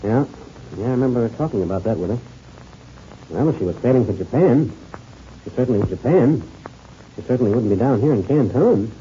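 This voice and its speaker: An actor playing a hearty, excitable adult pilot in an old-time radio adventure serial. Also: male voice, medium pitch, brisk, long pauses, natural voice. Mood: thoughtful recall. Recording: muffled, hissy old radio recording.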